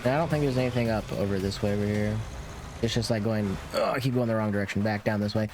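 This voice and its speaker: A man's voice: lowish voice, steady tone, quick pace. A man hosting a gaming video, explaining things in informal, casual gameplay commentary. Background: game music.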